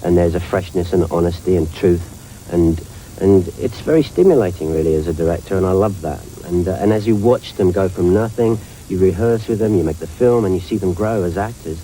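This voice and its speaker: A man's voice: low voice, brisk, mellow, a little hiss or hum, poor audio. A man from north London, a film director, answering interview questions in a reflective, rambling way.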